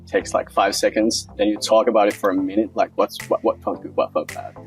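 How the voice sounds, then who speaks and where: A man's voice: lowish voice, little pitch movement, a little fast, faint music behind. A man in his twenties from Finland, giving a casual interview and thinking aloud in a hesitant way. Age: 20s. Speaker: interviewee, acroyoga practitioner.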